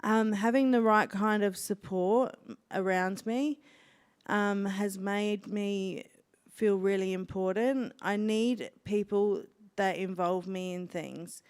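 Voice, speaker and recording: Aussie woman guest on a stage mic, slow and heartfelt, nice smooth voice. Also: medium pitch, steady tone. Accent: Australian. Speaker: guest speaker sharing her story. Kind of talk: answering interview questions on stage. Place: event stage. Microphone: handheld microphone.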